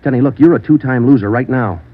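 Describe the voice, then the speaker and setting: An actor playing a terse, no-nonsense police detective sergeant, deadpan and matter-of-fact, in an old radio crime drama. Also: male voice, medium pitch, fast, natural voice. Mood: hard, pressing. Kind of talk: 1950s radio crime drama acting and narration. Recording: dull old radio recording, music behind.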